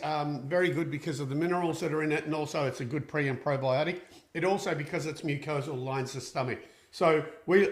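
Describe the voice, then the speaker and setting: A man hosting a casual live question-and-answer show, chatty and upbeat, often restarting with fillers. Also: a little high. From Austria. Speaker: equine therapist and show host. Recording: echoey room.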